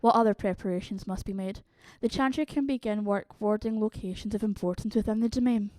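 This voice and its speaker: A woman playing a level-headed, pragmatic vampire sorceress in an audio drama, measured and formal. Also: mid-range voice, dark tone, no room sound, close to an everyday voice.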